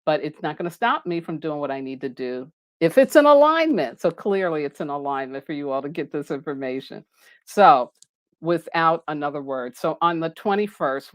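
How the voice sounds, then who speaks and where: A low-pitched woman talks loosely and warmly to her live-stream viewers about astrology. She speaks loudly, often laughs, and jumps high when she gets excited, with her microphone resting on a table. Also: normal speed. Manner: loud voice, mic set down on the table. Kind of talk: casual live-stream talk.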